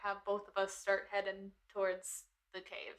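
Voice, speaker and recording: A woman's voice: medium pitch, completely flat delivery, normal speed, fairly bright tone. A woman chatting casually as a tabletop game player, thinking aloud as she describes her moves.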